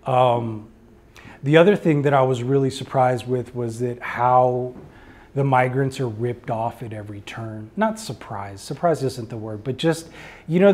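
A man speaks as an artist taking questions from a small gallery audience. His voice sits at a medium pitch. He is earnest and reflective, often pausing and restarting as he works out his answer. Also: a little mellow. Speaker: artist giving a gallery talk. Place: indoor art gallery before a small seated audience.

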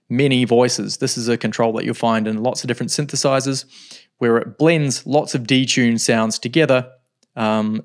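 A man in his thirties teaching music software in a home studio, explaining calmly with frequent ums. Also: mid-range voice, creaky. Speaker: music production tutor. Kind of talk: screen-recorded software tutorial.

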